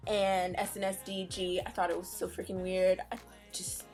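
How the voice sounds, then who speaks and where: A woman's voice: medium pitch, completely flat delivery, moderate pace, a little mellow, faint music behind. Young woman vlogger, easygoing and giggly, mid-range voice. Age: young adult. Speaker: co-host of a fan channel. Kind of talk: casual Q&A chat.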